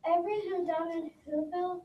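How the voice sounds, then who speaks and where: A young reader, sounding like a child, reading a rhyming story aloud slowly and haltingly. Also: extremely high pitch, fairly even intonation, lo-fi sound. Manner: slow, stumbling over words. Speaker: readers theater performer. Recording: roomy, distant mic, background noise.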